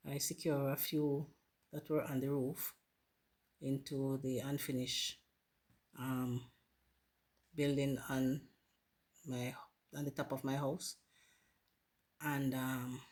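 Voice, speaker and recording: Hoarse, slow-talking Jamaican woman. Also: a bass voice. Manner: warm, grateful, addresses viewers as guys. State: hoarse from a flu. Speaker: gardening channel host. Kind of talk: casual chat to viewers while planting. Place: home garden.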